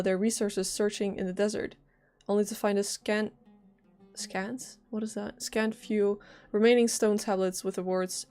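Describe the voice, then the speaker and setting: A woman livestreaming a game, giving casual, expressive commentary and reading text aloud, with a lingering cough. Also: medium pitch.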